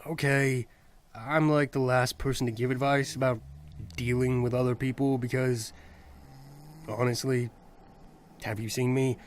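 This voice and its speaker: An actor playing a blunt, teasing but loyal young friend in an amateur anime-parody audio drama, with a dry, casual delivery. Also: male voice, mid-range voice, level tone, unhurried, long pauses, natural voice. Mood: frank reassurance.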